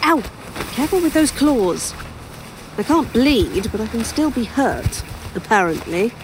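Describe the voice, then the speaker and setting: A woman's voice: a little high, sing-song, a little slow, noisy background. A woman playing a defiant, weary dead writer in an audio horror drama, with a British accent and a dry, wry delivery. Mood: exasperated.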